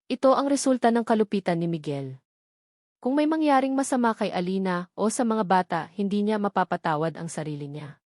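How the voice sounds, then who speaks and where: A woman reading a scripted story as a narrator, in a measured, dramatic way with emphatic phrasing. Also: medium pitch, expressive, darkish tone, no room sound, clean studio sound.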